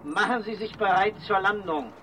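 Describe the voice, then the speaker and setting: A dry, formal radio operator. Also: male voice, high-pitched, normal speed, bright, forceful tone, far from the mic, slight background noise, low-quality recording.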